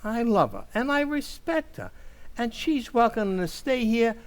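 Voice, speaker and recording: Man playing henpecked middle-aged husband, soft-hearted bluster, wildly swinging intonation, slow hesitant delivery, radio comedy. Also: very high-pitched, very animated, exaggerated voice. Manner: hesitant, flustered, sheepish bluster. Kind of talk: old-time radio comedy acting.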